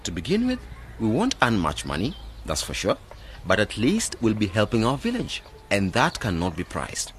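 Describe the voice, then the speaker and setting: A man's voice: lowish voice, wildly swooping pitch, moderate pace, a little hiss or hum. A man acting in a radio drama as an earnest, idealistic, determined young graduate planning a village project.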